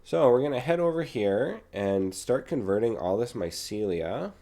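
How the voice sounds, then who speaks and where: A young man with a cold and a raspy throat, casually talking through a video game as he plays. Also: medium pitch, normal speed. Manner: relaxed, chatty, talks while playing. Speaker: gaming channel host. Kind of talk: casual gameplay commentary.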